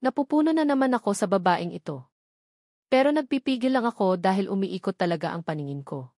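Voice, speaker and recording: A woman's voice: medium pitch, expressive, a little fast, darkish tone, no room sound, clean studio sound. A woman reading a first-person novel as scripted, dramatic story narration.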